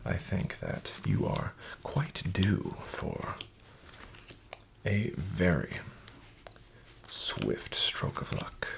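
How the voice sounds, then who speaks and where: Deep, gravelly, soft-spoken dealer man. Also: drawn-out words, pauses often. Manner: soft-spoken, half-whispered, smooth and flattering salesman patter. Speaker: casino dealer in a roleplay. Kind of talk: soft-spoken ASMR roleplay.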